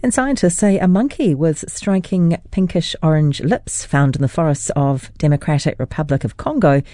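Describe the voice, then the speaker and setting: New newsreader, mellow voice. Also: mid-range voice, moderate pace, dry recording, clean studio sound. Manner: crisp, even news reading. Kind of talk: news headlines.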